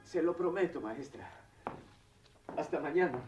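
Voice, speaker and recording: Radio drama lead: poor young student burdened by conscience, lively intonation, dramatic, light music underneath. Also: male voice, fairly high pitch, unhurried. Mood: resolute, respectful. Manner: dramatic, heartfelt delivery. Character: troubled, remorseful poor young student, devoted son. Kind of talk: radio drama acting and first-person narration.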